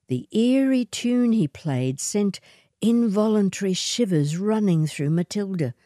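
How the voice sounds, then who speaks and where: A woman reading a short story as narrator, in an expressive scripted storytelling style. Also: on the low side, dry recording, studio quality.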